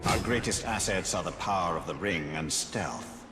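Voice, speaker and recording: A man playing an ancient, solemn elven wraith lord in a video game cutscene, speaking in a formal, grave, measured way. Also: low-pitched, loud music behind the voice, lightly acted. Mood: grave, commanding.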